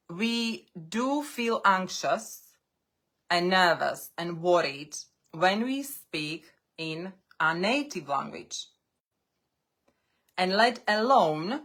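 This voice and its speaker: A woman coaching English learners on a live stream. English is her second language, and she speaks deliberately in short phrases with pauses. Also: lowish voice, lively intonation, fairly bright tone. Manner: non-native English speaker.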